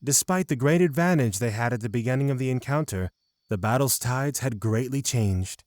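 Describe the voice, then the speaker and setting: A man's voice: mid-range voice, expressive, moderate pace, a little mellow, dry recording. Man, fantasy audio drama narration, mid-range voice, very dry recording.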